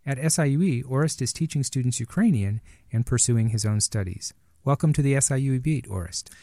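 A man's voice: mid-range voice, brisk, mellow, dry recording, clean studio sound. A middle-aged man hosting an interview, asking curious, friendly questions. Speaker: podcast host.

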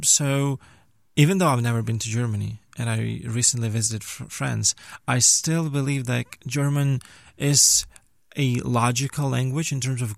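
Male guest, twenties, Ukrainian native, mid-pitched, conversational, recorded close and dry. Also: creaky, dry recording. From western Ukraine. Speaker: interview guest, language teacher and student. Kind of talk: conversational interview.

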